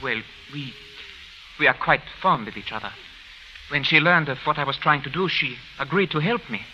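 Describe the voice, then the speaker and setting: A man's voice: fairly high pitch, moderate pace, sharp tone. A male actor playing an anxious, earnest wronged man in old radio drama, speaking formally and pleading with careful phrasing. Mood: confiding. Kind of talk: 1940s-50s radio drama acting. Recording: muffled old radio recording, faint noise.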